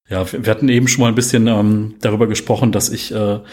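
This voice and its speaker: A man's voice: lowish voice, steady tone, normal speed, darkish tone, slightly rough. A young man hosting a podcast, chatting loosely with lots of fillers and rambling questions. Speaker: podcast host. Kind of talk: casual interview chat.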